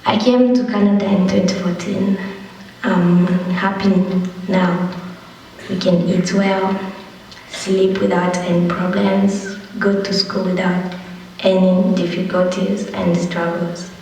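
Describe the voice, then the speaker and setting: A young refugee reading a prepared account and a poem in a slow, steady way. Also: medium pitch, slight background noise. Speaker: young refugee telling own story. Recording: distant voice in a reverberant hall.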